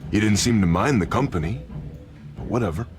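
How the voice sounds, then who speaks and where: An actor playing a rough, mocking barroom regular in a video game, with a taunting, familiar drawl. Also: male voice, lowish voice, animated, fairly quick, close to an everyday voice. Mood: mocking, needling. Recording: music under the voice.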